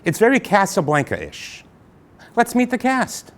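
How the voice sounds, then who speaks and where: A man's voice: high-pitched, wildly swooping pitch, unhurried. A man hosting a radio-play show in a jokey, self-mocking monologue who also reads the stage directions. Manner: jokey, self-mocking, talking straight to the audience. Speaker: host and narrator.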